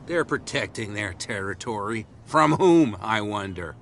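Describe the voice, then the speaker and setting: A man's voice: fairly low pitch, lively intonation, a little slow, vocal fry, fairly bright tone, a little hiss or hum, heavily acted. A man voicing a talkative, conspiratorial out-of-work drunk in a video game. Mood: chatty. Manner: chatty, conspiratorial. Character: jumpy, talkative male out-of-work island drunk.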